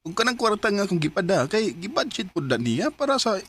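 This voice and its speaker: Worried young husband, husky voice. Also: male voice, sits high, big pitch swings, fairly quick, fairly bright tone, heavily acted. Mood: pleading. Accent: Cebuano Filipino. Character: worried young husband working abroad, torn and homesick. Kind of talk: Bisaya radio drama acting.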